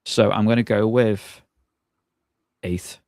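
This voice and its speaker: A man in his thirties speaks as a guest in a casual gaming chat. His voice is fairly low, and it is recorded dry with no room around it. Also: steady tone, slow pace, vocal fry, a little mellow, no echo. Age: 30s. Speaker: podcast guest. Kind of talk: casual chat about games.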